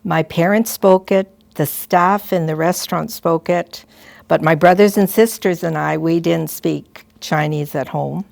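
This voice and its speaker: An older woman, a retired school principal, telling gentle, halting stories and advice to students. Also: low-pitched, steady tone, creaky, dry recording. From Canada. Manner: gentle, halting, with frequent restarts and soft asides. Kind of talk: reflective storytelling to students.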